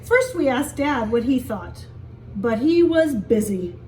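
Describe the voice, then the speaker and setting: Female story time teacher, higher pitch, expressive read-aloud with questions for little kids; roomy, echoing sound. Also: slow pace. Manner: expressive, explains and asks simple questions for young children. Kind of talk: read-aloud picture book storytelling with asides to children. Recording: echoey room, distant mic, faint hiss.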